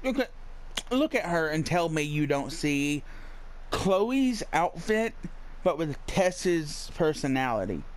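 A man's voice: fairly high pitch, sing-song, slow. A man giving casual let's play commentary on a video game, reacting bluntly and with swearing. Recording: faint game ambience behind voice.

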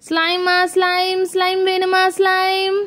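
Female hawker for children's toy play, coaxing calls, extremely high register, acted by a woman. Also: monotone, takes it slowly, fairly bright tone, exaggerated voice. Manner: calling out wares. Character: female street seller hawking slime to children.

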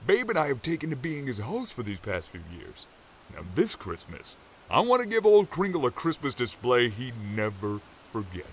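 A man acts out a giant folktale lumberjack for an audio drama. He is hearty and boastful, talking in a folksy, theatrical way. His mid-pitched voice moves up and down in a very lively way. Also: moderate pace, slight background noise. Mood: earnest, hopeful.

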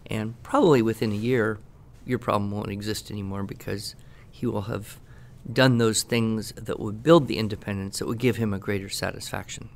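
A man giving conversational expert advice on a podcast, explaining in measured phrases with pauses mid-sentence. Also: fairly low pitch, steady tone, gravelly. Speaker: education expert answering questions.

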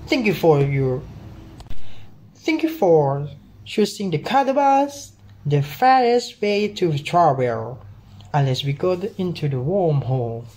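A young student voicing an excitable, welcoming cartoon bus creature in an exclaiming announcer manner. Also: medium pitch, slow, poor audio. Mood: cheerful announcing.

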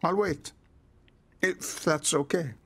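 An actor playing a courteous, guarded landlord in a radio soap, formal and polite. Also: male voice, a little high, takes it slowly, vocal fry, a bit bright, husky, heavily acted. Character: courteous, guarded landlord and painter, faintly secretive.

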